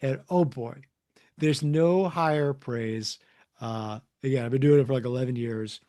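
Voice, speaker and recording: A middle-aged man telling stories casually as an author guest in an interview, animated and prone to acting out dialogue. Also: medium pitch, a little slow. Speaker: guest, comics and children's book author.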